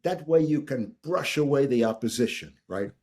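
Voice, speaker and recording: An older man from the United States spins a story with wide swings in pitch, leaning into punchlines and slipping into quick voices for the people he quotes. His voice has a husky, slightly rough edge. He keeps the listener close by tagging his lines with "right?". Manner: animated raconteur, repeats "right?", acts out quoted voices. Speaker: guest. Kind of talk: storytelling in conversation.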